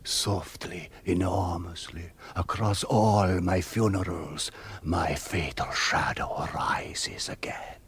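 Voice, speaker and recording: An actor playing an ancient vampire count in a radio play, melancholy, sinister and grandly theatrical, savouring each macabre, poetic word. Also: male voice, deep voice, steady tone, slow pace, slight background noise. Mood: brooding, mournful. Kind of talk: 1970s radio play narration.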